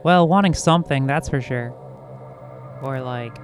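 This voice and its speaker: A man's voice: medium pitch, unhurried, faint music behind. A man playing a tabletop game, improvising in character in a brief, matter-of-fact manner.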